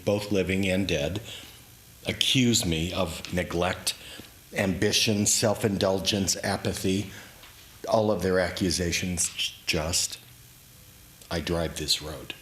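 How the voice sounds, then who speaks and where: A man giving a dramatic literary reading of his own memoir, in deliberate, measured short phrases. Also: low voice, a bit bright, slight background noise. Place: room in a historic house, live event. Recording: echoey room, live reading.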